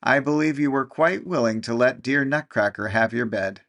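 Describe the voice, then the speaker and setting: A man's voice: medium pitch, moderate pace, a bit bright, close to an everyday voice. A man voicing a sweet young girl who speaks fondly to her doll. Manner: exclaiming fondly.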